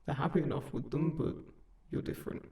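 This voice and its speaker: A man playing a kind sleep paralysis demon in a comfort audio roleplay, speaking gently and reassuringly in an unhurried, gravelly voice. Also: fairly high pitch, close to an everyday voice. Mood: fond. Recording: roomy, echoing, slightly distant voice.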